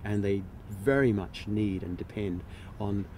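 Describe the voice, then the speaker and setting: A man giving measured interview answers as a filmmaker, with deliberate, rather formal phrasing. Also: fairly low pitch, warm tone, a little hiss or hum.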